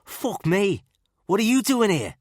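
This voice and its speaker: A chummy, oozy ex-para with a thick Scouse accent, put on by a male narrator, his voice lifted high and loud over a low, husky base. The recording is close and dry. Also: very animated, a little slow, no room sound, full character voice. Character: affable scouse ex-para male, smooth-talking.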